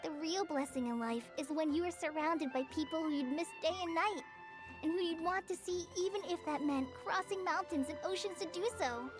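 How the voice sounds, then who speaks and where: A woman voicing a sweet, earnest young girl in a video game, gentle and sincere. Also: very high-pitched, moderate pace, music in the background. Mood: tender, grateful.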